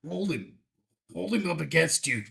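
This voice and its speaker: A man acting in a table read as an older, gentle rancher and adoptive father, halting and sincere, with a gravelly voice. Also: on the high side, animated, full character voice. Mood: urgent.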